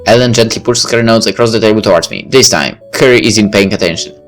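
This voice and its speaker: A man's voice: lowish voice, fast, soft background music. A man reading a visual novel aloud as a let's play narrator, with casual asides.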